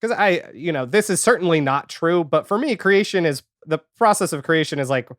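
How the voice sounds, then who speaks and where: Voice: medium pitch, lively intonation, a little fast, fairly bright tone, no echo. Candid podcast guest, close dry sound. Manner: candid, self-deprecating, wry. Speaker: podcast guest, audio fiction creator. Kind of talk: casual interview chat.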